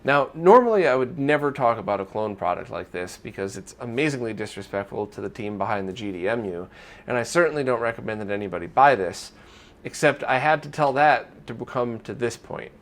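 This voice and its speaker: A man presenting an informal retro gaming news roundup in a conversational, opinionated way. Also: mid-range voice.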